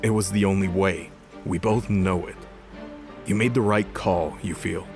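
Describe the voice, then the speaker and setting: Audio drama: male reader as a wounded, wry god, low and weary, coaxing, music underneath. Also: steady tone, measured pace, gravelly. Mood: weary reassurance. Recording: music bed behind voice.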